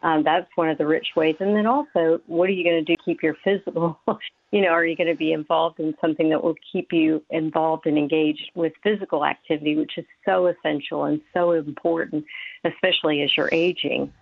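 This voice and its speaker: A woman's voice: sits low, fairly even intonation, a little fast, creaky, dry recording. Woman guest on a tinny phone line. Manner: earnest, explanatory, many fillers. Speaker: mental health professional, guest. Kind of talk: radio interview.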